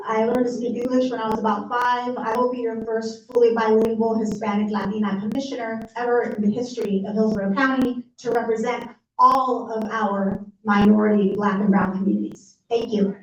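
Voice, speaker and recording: A woman's voice: on the high side, level tone, brisk, a little hiss or hum. A woman from Puerto Rico whose first language is Spanish, giving an earnest campaign speech and checking in with the crowd. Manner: earnest, appeals to the crowd with tag questions. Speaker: political candidate. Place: community meeting room. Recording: roomy, distant and dull room recording.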